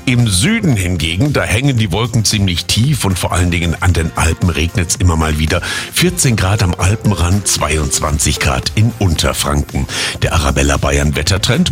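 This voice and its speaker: A male radio host presents in an upbeat style. His voice is deep and lively, with music playing behind.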